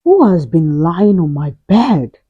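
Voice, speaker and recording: A woman acts out a huge storybook papa bear. He is loud and indignant, demanding answers in slow, emphatic questions, with a dry, close sound. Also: fairly low pitch, very animated, husky, no room sound, dull audio, pitched below the performer's own voice, full character voice. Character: very big male father bear, indignant. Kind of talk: children's storybook character voice.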